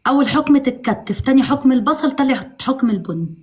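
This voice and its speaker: Woman, Egyptian accent, high voice, mock-stern, insistent, teasing, informal streaming banter. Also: expressive, quick pace, darkish tone. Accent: Egyptian Arabic. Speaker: live stream participant. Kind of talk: casual bantering live chat.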